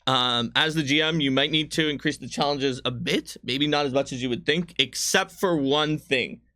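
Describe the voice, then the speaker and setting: A man's voice: mid-range voice, normal speed, pushed and bright. A man casually explaining tabletop game rules in frank detail, as a designer on a livestream.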